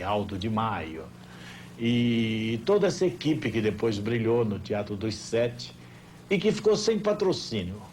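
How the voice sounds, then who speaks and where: An older Brazilian man tells stories in an interview. His voice is mid-pitched and very lively in intonation, with emphatic delivery. Faint ambient noise runs behind him. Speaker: former television director recalling his career. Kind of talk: unscripted interview reminiscence.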